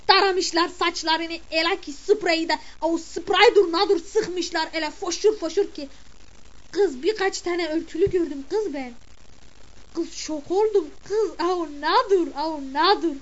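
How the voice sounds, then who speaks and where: Comic sketch, woman playing a scolding, chattering village mother, very high and shrill, dull lo-fi sound. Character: gossipy, grumbling middle-aged village mother of the bride. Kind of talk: comic character monologue.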